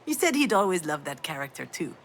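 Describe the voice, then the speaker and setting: A woman playing a sardonic, self-blaming yet tender mother in a dramatic video game, frank and emotionally expressive. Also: low-pitched, fairly quick, a little hiss or hum. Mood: wry enthusiasm. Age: adult.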